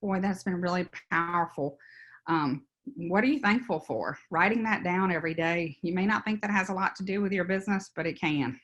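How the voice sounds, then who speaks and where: A woman's voice: fairly low pitch, fairly quick, a bit bright, muffled recording. A woman with a Louisiana Southern accent giving an informal, encouraging workshop presentation in an emphatic, conversational way. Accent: Louisiana Southern American. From Louisiana, United States. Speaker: workshop presenter, business consultant. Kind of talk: informal instructional webinar presentation.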